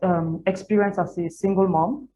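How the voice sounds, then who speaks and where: Casting: Cameroonian woman, thirties, lowish voice, candid interviewee who repeats for emphasis; noisy lo-fi capture. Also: steady tone, normal speed, dark tone. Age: 30s. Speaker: interview guest, NGO founder and women's activist. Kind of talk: personal storytelling in an interview. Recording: muffled lo-fi audio with audible background noise.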